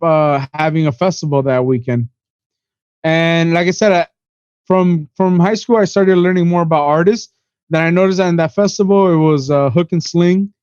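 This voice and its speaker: A man's voice: a little high, darkish tone, clear, resonant tone, no room sound, dull audio. A man in his twenties from Miami, a podcast guest rambling through his story in casual chat, full of "you know" and "bro". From Miami, USA. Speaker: podcast guest, event organizer.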